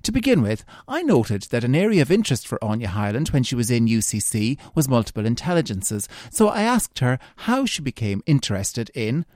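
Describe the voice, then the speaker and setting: A man with an Irish accent hosting a radio education programme, reading a scripted introduction and then asking interview questions in a clear, measured way. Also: medium pitch, big pitch swings, a little mellow, no echo, studio quality. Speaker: radio show host.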